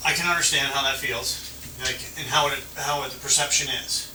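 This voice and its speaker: A man's voice: medium pitch, fairly even intonation, a little fast. A male police officer talking casually, explaining things in a conciliatory, conversational way. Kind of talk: casual roadside explanation to a detained person. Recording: noisy, roomy, distant mic.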